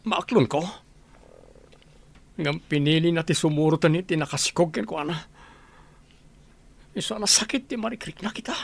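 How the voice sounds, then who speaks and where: Man acting anguished husband, high strained raspy voice. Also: normal speed, pauses often, no room sound. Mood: bitter, hurt. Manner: anguished, lamenting. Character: heartbroken abandoned husband and father, aggrieved. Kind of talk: Ilocano radio drama acting.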